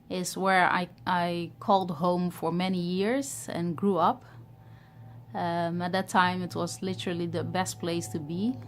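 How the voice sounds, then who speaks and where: A woman from Ghana in her thirties who grew up in Europe, talking to camera in a reflective, hesitant way about starting a business. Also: fairly low pitch. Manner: reflective, hesitant, many pauses and fillers. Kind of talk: personal vlog talk to camera.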